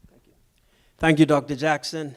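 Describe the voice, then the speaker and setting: Middle-aged bahamian guy, finance seminar talk, a bit higher voice, steady and businesslike. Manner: measured, businesslike, checks in with "okay?". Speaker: financial advisor presenting at a church seminar. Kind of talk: seminar presentation.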